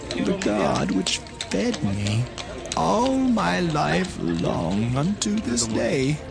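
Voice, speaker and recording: Audio scripture drama: grave old dying father, gravelly, drawn-out declamation, music underneath. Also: fairly high pitch, exaggerated voice. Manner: slow, halting, solemn declaiming. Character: Israel, aged dying biblical patriarch, solemn, blessing his sons.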